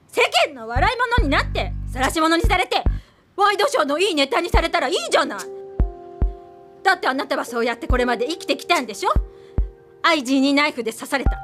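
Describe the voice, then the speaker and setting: High-voiced, sharp-tongued scornful daughter. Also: female voice, very animated, fairly quick, over music. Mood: bitter, goading. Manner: biting, confrontational, scolding. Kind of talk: radio drama acting.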